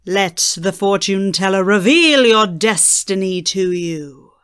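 A medium-pitched female voice speaking slowly and portentously , as acted by a woman. She is an ominous, mysterious fairground fortune teller in an audio drama. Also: expressive, fairly bright tone, clear, resonant tone. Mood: enticing. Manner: slow, portentous.

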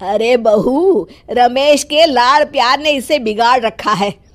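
A woman's voice: fairly high pitch, slow pace. Woman doing a bossy old grandma. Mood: scolding. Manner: scolding, dismissive.

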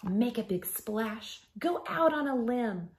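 A woman in her thirties reading a picture book to children like a schoolteacher, gently and expressively, softening to a breathy hush at line ends. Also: a little high, animated, slow pace. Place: small living room at home.

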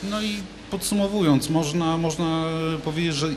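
A man's voice: on the high side, moderate pace, slight background noise. A man in his thirties, a studio guest, speaks casually with many fillers and restarts about a local event, holding a handmade microphone. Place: large TV studio with a glass roof. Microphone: handheld mic.

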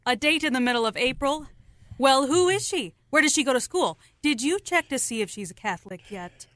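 A woman's voice: high-pitched, lively intonation, a little fast, fairly bright tone, no echo. A woman playing a pointed, scolding mother of a grown son in a radio play. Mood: prying. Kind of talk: absurdist radio play acting.

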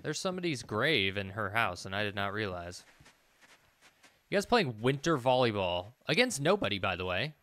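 A man's voice: fairly low pitch, very animated, moderate pace, fairly bright tone. A man in his thirties giving casual gameplay commentary, talking to himself in a self-deprecating way. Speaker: let's play gamer. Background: faint game music.

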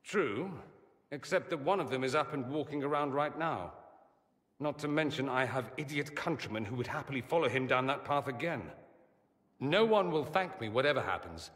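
A flamboyant young mage nobleman in a video game, voiced by a man, full of arch, ironic wit and a bright, animated delivery that swoops up and down. He teases and performs every line, with a long reverb trailing behind his voice. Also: medium pitch, moderate pace, lightly acted. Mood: dry. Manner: lively, teasing, theatrical. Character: witty, vain, charming male mage nobleman, young adult. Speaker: mage companion.

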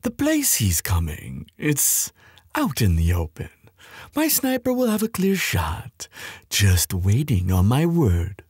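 A man playing a gloating, menacing villain in an audio roleplay, taunting with mock politeness in a husky voice. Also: on the low side, wildly swooping pitch, slow pace, a little mellow, no echo, studio quality, heavily acted. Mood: smug, menacing. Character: gloating, menacing male villain making threats by phone.

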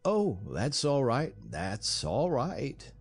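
A man's voice: on the low side, very animated, unhurried, a little mellow, faint music behind. A man voicing a plain-spoken, wry frontier onlooker in an audiobook, with a blunt, colloquial manner. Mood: mild, doubtful.

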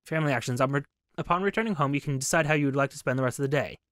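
A man hosts a gaming video in a casual, thoughtful way, musing aloud with some hesitation. His voice sits at a middle pitch and is recorded very dry, with no room sound. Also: racing, a bit bright, dry recording. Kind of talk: casual game commentary mixed with reading dialogue aloud.

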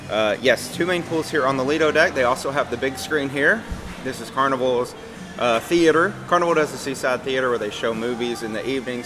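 A man's voice: mid-range voice, noisy background. A man narrating a walking tour of a cruise ship, explaining as he goes with frequent fillers. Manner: friendly explanatory, many "uh" fillers. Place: outdoor and indoor decks of a cruise ship.

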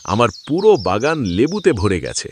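A man's voice: medium pitch, sing-song, normal speed, a little hiss or hum. A man voicing a boastful, greedy, scheming village farmer in a cartoon, bragging and gloating. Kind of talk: cartoon voice acting.

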